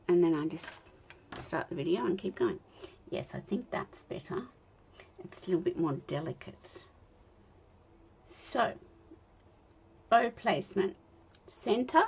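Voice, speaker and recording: An Australian woman in her fifties, chatting and talking to herself while she demonstrates card making, with a slightly croaky voice. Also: fairly low pitch, lively intonation, slow pace, pauses often, slight background noise. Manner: rambling, self-talk with soft laughs. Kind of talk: chatty crafting demo.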